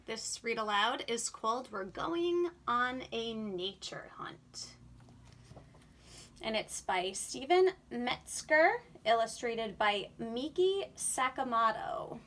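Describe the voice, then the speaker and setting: A woman reading a picture book aloud to young children in an animated, sing-song, expressive way. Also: a little high, slow.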